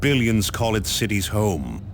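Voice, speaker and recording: Deep-voiced, solemn space marine commander. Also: male voice, sing-song, very noisy. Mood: grave, urgent. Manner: solemn, portentous, measured. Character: grave, stern male space marine commander briefing troops. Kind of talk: dramatic scripted briefing narration.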